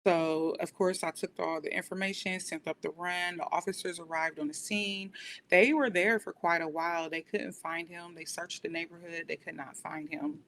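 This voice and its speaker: A woman's voice: steady tone, moderate pace, a bit bright, low-quality recording. An American woman talking in a conversational interview, explaining and recounting in a reflective way as a guest. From United States. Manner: reflective, explanatory, often says "you know". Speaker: podcast guest, police dispatcher. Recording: noticeable background noise, low rumble.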